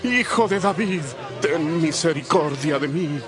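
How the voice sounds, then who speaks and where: An actor playing a desperate blind beggar who cries out for mercy in drawn-out, pleading calls, in a dramatized Bible recording. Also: male voice, on the high side, lively intonation. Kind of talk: dramatized Bible audio reading. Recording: loud music and crowd behind.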